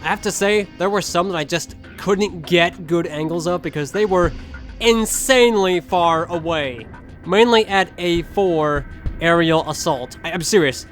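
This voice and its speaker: A man's voice: high-pitched, unhurried, soft background music. A young man giving casual commentary as a gaming video creator.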